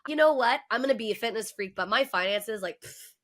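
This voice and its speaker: A woman hosting a podcast, talking in a casual, emphatic way with a loud voice and frequent "right?" and "okay?" asides. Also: fairly high pitch, lively intonation, a little fast. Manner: self-described loud voice. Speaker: podcast host. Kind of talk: casual conversation.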